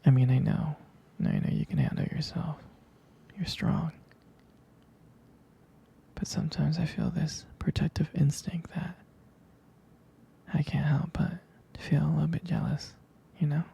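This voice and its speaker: Breathy whispering man, heavy vocal fry. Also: fairly even intonation, measured pace, long pauses. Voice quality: breathy, gravelly low murmur. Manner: hushed, hesitant, tender. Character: protective, affectionate, slightly jealous boyfriend. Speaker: audio roleplay performer. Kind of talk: intimate ASMR boyfriend roleplay, whispered.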